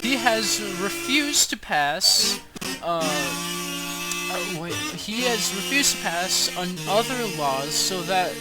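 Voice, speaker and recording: An amateur reader of unclear sex reads a formal historical document aloud, haltingly, stumbling over long words and losing their place. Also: medium pitch, animated, poor audio. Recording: loud music behind the voice. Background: loud instrumental music.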